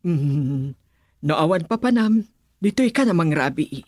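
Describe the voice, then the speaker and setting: A woman's voice: deep voice, lively intonation, unhurried, darkish tone, no room sound, clean studio sound. A woman playing a friendly, hospitable middle-aged aunt in a radio drama, chatting away. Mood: welcoming.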